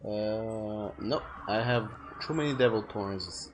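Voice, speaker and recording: A man's voice: fairly low pitch, takes it slowly. Chatty man streaming a game, faint hiss. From France. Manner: rambling, self-correcting, chatty. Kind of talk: casual gameplay commentary.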